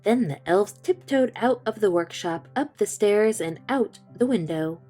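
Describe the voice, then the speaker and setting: Woman storyteller for kids, mellow and gentle, soft music underneath. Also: medium pitch. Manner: slow, gentle and expressive. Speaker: children's book reader. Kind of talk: storytime read-aloud narration.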